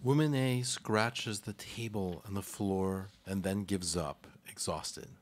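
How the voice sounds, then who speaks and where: A man's voice: lowish voice, slow pace. A man narrating scripted stage directions for a live radio play.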